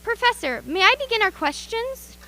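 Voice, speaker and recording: Woman acting high, animated radio field commentator. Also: normal speed, slight background noise, exaggerated voice. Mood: formal, eager. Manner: formal, theatrical, carefully announced. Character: eager, formal woman radio commentator reporting on location. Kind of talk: 1930s-style radio play acting.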